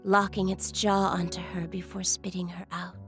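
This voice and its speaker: A woman giving grim, scripted narration for a game in a measured, ominous storytelling manner. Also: on the low side, little pitch movement. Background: game music.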